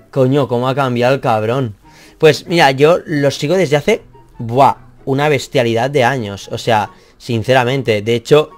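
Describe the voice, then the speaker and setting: Young man, native Catalan speaker, rambling, self-deprecating, casual swearing, headset mic, small office, faint music behind. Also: medium pitch. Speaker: math youtuber. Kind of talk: reading and answering comments.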